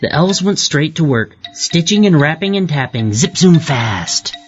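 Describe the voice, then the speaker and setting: A storyteller reads for young children with very lively, swooping intonation. The delivery is scripted, expressive and deliberate. The sound is a little dull and lo-fi, with music clearly behind. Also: mid-range voice. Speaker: storybook narrator. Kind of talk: scripted children's read-aloud narration. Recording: muffled lo-fi audio with music behind.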